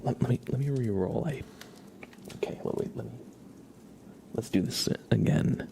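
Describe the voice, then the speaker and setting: A man hosting an ASMR livestream, chatting casually and softly to viewers in an unhurried way, close to the microphone. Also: mid-range voice, creaky, slight background noise. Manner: soft-spoken, mostly whispering, unhurried.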